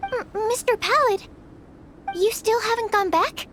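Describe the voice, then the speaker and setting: Very high-voiced, shy young researcher. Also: female voice, animated, a little slow, noisy background. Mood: earnest, concerned. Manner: hesitant, bashful, apologetic, polite. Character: shy, earnest, polite young female researcher. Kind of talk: video game character acting. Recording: game music behind the voice.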